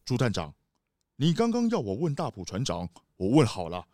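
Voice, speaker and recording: A man's voice: mid-range voice, huge pitch swings, moderate pace, heavy background noise. A man playing an earnest, puzzled official in a children's detective audio drama.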